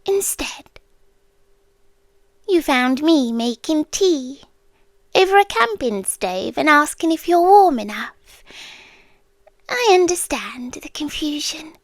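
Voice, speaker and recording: A British woman playing an ancient, calm, doting apocalyptic girlfriend in a soft, partly whispered ASMR roleplay, speaking slowly and deliberately. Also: sits high, dry recording, full character voice. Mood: soothing, eerily calm. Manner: slow, hushed, deliberate delivery.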